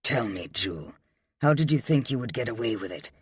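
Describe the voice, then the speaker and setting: A man's voice: medium pitch, animated, brisk, slightly rough, dry recording. A man voicing a cold, furious husband who sneers with icy contempt. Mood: icy sneering.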